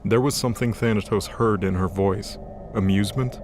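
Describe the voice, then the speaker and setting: A man's voice: on the low side, fairly even intonation, moderate pace, darkish tone, a little hiss or hum. A man reading scripted, dramatic story narration as the narrator of an audio drama. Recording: music under the voice. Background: music.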